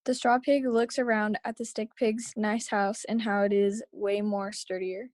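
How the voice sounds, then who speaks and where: A woman's voice: medium pitch, little pitch movement, a little slow, a little mellow, no echo, studio quality. A woman reading scripted story action aloud at a table read over a video call. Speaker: reader of action lines. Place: remote video call, close dry sound.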